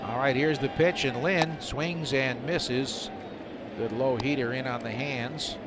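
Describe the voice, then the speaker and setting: A man's voice: medium pitch, takes it slowly. A man calling a live baseball game on the radio as a play-by-play announcer. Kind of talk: live sports commentary. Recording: noisy live ballpark radio broadcast. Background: stadium ambience and noise.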